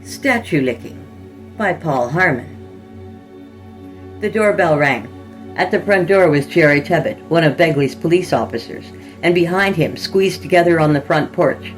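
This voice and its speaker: A woman reading scripted horror fiction as a podcast narrator, in a measured, dramatic storytelling manner. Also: low-pitched, animated, soft background music, rough recording.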